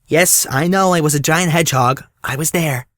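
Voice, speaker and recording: A man's voice: fairly high pitch, normal speed, a bit bright, dry recording, clean studio sound, natural voice. A man playing an exasperated, sarcastic, reluctant young hero in an audio drama. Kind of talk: fan audio drama acting.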